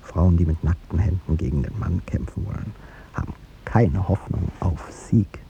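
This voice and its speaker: A man's voice: moderate pace, dark tone. An actor playing an aging, courtly president in a 1950s radio drama, speaking formally and hesitantly as he gives up a beloved young woman. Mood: resigned, reproachful. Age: older. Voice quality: deep, gravelly. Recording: muffled vintage radio recording, rumbling hiss.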